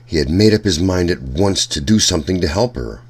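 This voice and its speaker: A man reading a children's storybook aloud in an expressive way, addressing young listeners as a storytime narrator. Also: low voice, brisk, slightly rough. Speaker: storytime reader.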